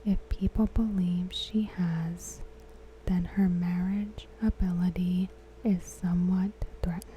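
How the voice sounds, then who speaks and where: A woman softly whispering a scripted recap as an ASMR host, breathy, hushed and deliberate. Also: on the low side, steady tone, slight background noise, rough recording. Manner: measured, deliberate, hushed delivery.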